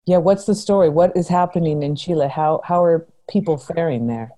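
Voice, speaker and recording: Mellow-voiced woman, low and emphatic. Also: fairly quick. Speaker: host. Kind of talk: casual conversational discussion.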